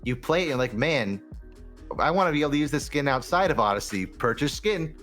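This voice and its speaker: A man's voice: mid-range voice, animated, a little fast, a bit bright. Man in casual gaming talk over faint music. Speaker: podcast guest.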